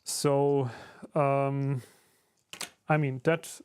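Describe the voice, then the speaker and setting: A man's voice: mid-range voice, drawn-out words, slight background noise. A German man, a native German speaker talking in English with a German accent, chatting casually on a live stream while he builds and answers his chat. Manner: relaxed and conversational, many fillers, answers chat. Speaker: streamer and YouTuber building a 3D printed enclosure.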